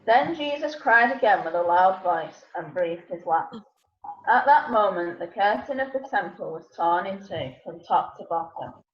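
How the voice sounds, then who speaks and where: A woman's voice: mid-range voice, animated, unhurried. Woman narrating scripture, echoey room. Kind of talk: scripture reading. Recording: echoey room, slightly muffled.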